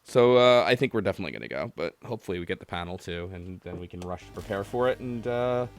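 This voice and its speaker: A man's voice: low voice, expressive, fast. A man hosting a call-in podcast, chatting casually with a jokey, ribbing manner. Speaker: podcast host taking callers. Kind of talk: casual chat.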